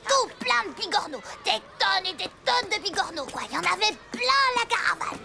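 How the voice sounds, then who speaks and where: Un enfant à voix suraiguë. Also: female voice, very high-pitched, lively intonation, a little fast, vocal fry, loud music behind the voice, full character voice. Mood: excited. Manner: gushing, repetitive. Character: eager, enthusiastic young schoolchild. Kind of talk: French animated cartoon acting.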